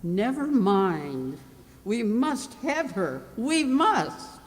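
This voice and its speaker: Woman acting a high, dramatic royal lady. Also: very animated, very slow, exaggerated voice. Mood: insistent. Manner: dramatic, exclamatory. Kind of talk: old-time children's radio play acting. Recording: noisy reverberant hall.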